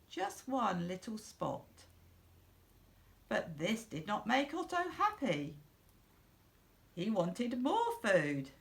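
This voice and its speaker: A middle-aged woman reading a children's storybook aloud in a deliberate, expressive way for young listeners. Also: fairly low pitch, creaky. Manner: slow, deliberate, simple phrasing for young children. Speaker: school storyteller.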